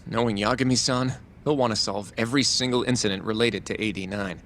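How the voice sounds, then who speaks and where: A man's voice: mid-range voice, steady tone, fast talker, fairly bright tone, a little hiss or hum, close to an everyday voice. A man acting an earnest, polite young lawyer in an English video game dub. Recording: faint music behind the voice.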